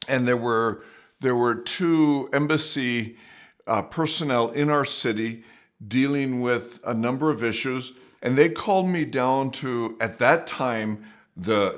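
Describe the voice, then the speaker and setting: A man's voice: mid-range voice, a bit bright. Middle-aged man telling a rambling anecdote. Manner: rambling and conversational, with frequent restarts and asides. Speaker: storyteller, mission worker. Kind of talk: casual spoken anecdote. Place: his home.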